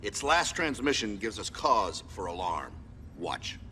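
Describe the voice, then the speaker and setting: A man playing a stern, forceful military commander in a video game, giving orders and briefings in clipped phrases. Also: on the low side, sing-song, measured pace, full character voice. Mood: grave briefing. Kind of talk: video game character acting. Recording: noisy background behind the voice.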